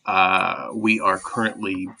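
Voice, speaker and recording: A man's voice: deep voice, flat delivery, takes it slowly, pushed and bright, slight background noise, rough recording. An American man speaking plainly and matter-of-factly about future plans. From United States.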